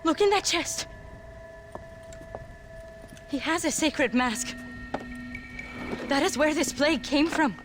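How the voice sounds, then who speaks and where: A woman's voice: sits high, expressive, takes it slowly, long pauses, music in the background. An actress playing a fervent, fearful Mexican townswoman who speaks ominously, in a video game. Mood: urgent, evasive. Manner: fervent, dramatic. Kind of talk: video game cutscene acting.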